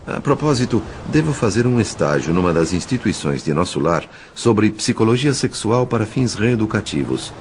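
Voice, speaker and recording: A deep-voiced, earnest spirit narrator. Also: male voice, very animated, a little hiss or hum. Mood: earnest, respectful. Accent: Brazilian. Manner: formal, literary, measured. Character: André, earnest, reverent male spirit narrator and helper. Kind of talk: scripted audio drama narration.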